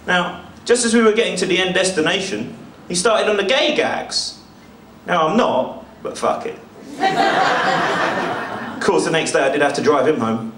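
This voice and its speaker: Lively man, echoey venue. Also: sits high, normal speed, pauses often. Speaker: stand-up comedian. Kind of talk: stand-up storytelling. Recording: echoey venue, distant mic, faint noise.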